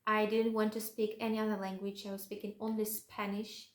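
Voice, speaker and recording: A woman's voice: medium pitch, flat delivery, normal speed, roomy sound. A young woman from Russia with a Russian accent, a vlogger chatting casually to the camera and joking at her own expense, with many fillers.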